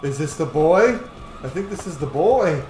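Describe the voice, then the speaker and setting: In an echoey room with clear background noise, a man chats through a video game. His pitch moves around a lot and his talk is informal and freely profane. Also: animated, moderate pace, a little mellow. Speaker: video game player commentating. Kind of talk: casual gameplay commentary.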